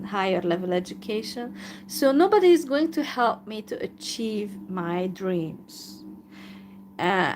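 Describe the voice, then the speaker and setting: Slow, downcast Hungarian-accented woman. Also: on the high side, a little hiss or hum. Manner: downcast, resigned and hesitant, with many pauses. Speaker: YouTuber. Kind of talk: live video monologue.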